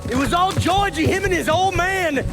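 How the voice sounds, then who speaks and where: An actor playing a cornered, desperate criminal in a video game scene. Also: male voice, very high voice, moderate pace. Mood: panicked, defensive. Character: cornered male Southern criminal, blustering then pleading desperately. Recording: music behind the voice.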